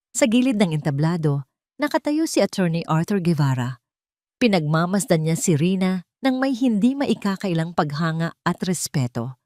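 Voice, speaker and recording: A woman's voice: sits low, darkish tone, no echo, clean studio sound. A woman narrating a scripted dramatic love story as an audio drama.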